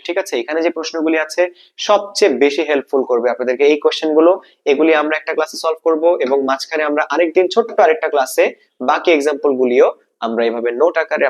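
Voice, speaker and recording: A man with a Bangladeshi accent teaching an exam-prep lesson, explaining step by step, repeating points and asking check questions. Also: medium pitch. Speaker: English grammar teacher.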